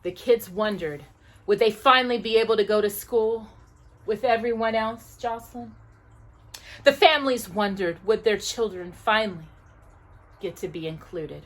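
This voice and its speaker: A woman hosting a children's storytime, reading aloud with emphatic stresses, dramatic pauses and asides to her listener. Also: medium pitch.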